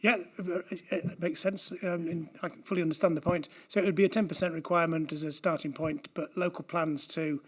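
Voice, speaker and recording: Man, slightly high voice; polite, careful hearing chair. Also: steady tone, a little fast, hardly any pauses, a bit bright. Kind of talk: formal hearing discussion.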